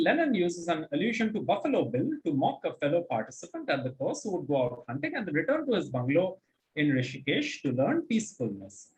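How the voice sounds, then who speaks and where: A man's voice: sits high, darkish tone, rough recording. A man hosting a quiz, reading out questions and commenting on answers in an articulate, encouraging, explanatory way. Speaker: quizmaster and host.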